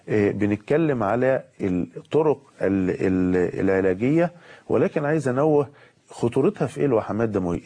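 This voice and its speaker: A man with an Egyptian accent, a pediatric surgeon with a cold, giving a calm medical explanation with pauses and fillers. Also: medium pitch. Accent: Egyptian Arabic. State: has a cold.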